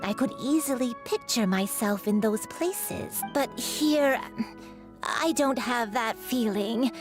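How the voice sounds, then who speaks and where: A woman voicing a frail, gentle, forgetful old woman in an anime game, wistful and halting. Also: fairly high pitch, music in the background. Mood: puzzled.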